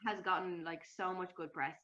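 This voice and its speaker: A woman's voice: on the low side, fairly even intonation, moderate pace, sharp tone, lo-fi sound. A woman interviewing a guest in an enthusiastic, chatty way, full of fillers. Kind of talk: casual interview chat.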